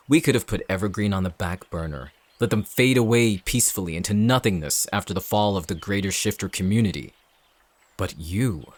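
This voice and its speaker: An actor playing a cold, calculating villain in an audio drama, speaking in a measured, smug, menacing way. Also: male voice, sits low. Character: cold, calculating male villain leading an anti-shifter operation. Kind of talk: dramatic audio roleplay acting.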